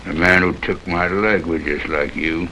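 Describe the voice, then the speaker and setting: Western colonel: crippled, deluded, proud; very slow, gravel-deep, brooding. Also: male voice, steady tone, bright, forceful tone. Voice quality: gravelly, creaky. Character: bitter, delusional, crippled old army colonel in a western. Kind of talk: 1950s TV western acting. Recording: noisy old TV soundtrack, rain-like hiss.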